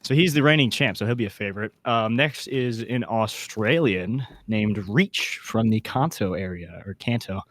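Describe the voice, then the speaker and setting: A man with a fairly low voice hosts a casual podcast chat. His talk is informal and enthusiastic, full of slang. The sound is dry and close, with hardly any room in it. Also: expressive, moderate pace, few pauses, fairly bright tone, dry recording. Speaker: podcast host.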